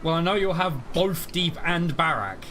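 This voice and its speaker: A man's voice: fairly high pitch, lively intonation, moderate pace, bright, forceful tone, slight background noise. A man co-commentating a race, jokey and full of banter, laughing often. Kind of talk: casual commentary chat.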